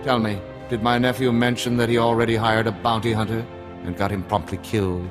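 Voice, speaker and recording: An actor playing an older, disdainful noble uncle in a video game, formal and scornful. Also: male voice, on the low side, flat delivery, a little fast, natural voice. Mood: taunting. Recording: music under the voice.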